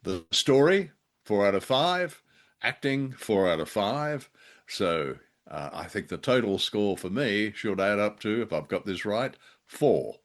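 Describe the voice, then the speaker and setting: A man's voice: lowish voice, sing-song, a little slow. A man co-hosting a podcast, musing and a little hesitant as he casually reviews radio dramas.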